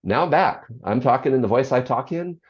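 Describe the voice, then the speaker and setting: A speaker in their sixties with a low bass voice, talking reflectively as an interview guest, pausing often with ums and restarting sentences. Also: animated, slightly rough. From United States. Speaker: guest, author and former radio program director. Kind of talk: interview conversation. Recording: slightly dull lo-fi audio.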